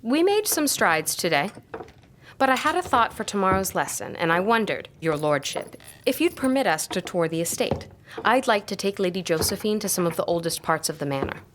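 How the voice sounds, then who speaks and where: An actress playing an earnest, deferential tutor and historian, polite and careful, in an audio drama. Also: female voice, medium pitch, lively intonation, brisk, noisy background, lightly acted. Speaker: narrator and character.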